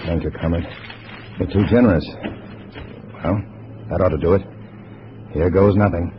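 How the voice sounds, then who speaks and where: Hard-boiled American undercover man played by a male actor, low voice, composed and colloquial, 1950s radio drama on a hissy, dull recording. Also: normal speed, natural voice. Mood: feigned regret. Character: cool undercover American agent posing as loyal communist comrade. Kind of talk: 1950s radio drama acting with first-person narration.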